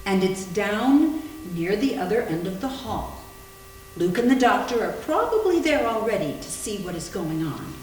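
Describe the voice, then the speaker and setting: A woman's voice: medium pitch, sing-song, moderate pace, darkish tone, close to an everyday voice. A woman playing a wry, coolly rational young houseguest in an amateur readers theater ghost drama. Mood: tense, rational. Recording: very echoey room with faint hum.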